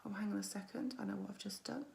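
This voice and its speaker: A woman mindset coach with a croaky voice, hosting a chatty weekly livestream and greeting viewers by name. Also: medium pitch, fairly even intonation, fast talker, darkish tone. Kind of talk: weekly social media livestream.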